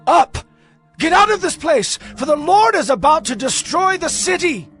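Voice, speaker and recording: A man's voice: extremely high pitch, big pitch swings, moderate pace, fairly bright tone, full character voice. A man playing an anxious, hospitable householder in a dramatized scripture reading, pleading and urgent. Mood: urgent alarm. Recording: background music, crowd noise.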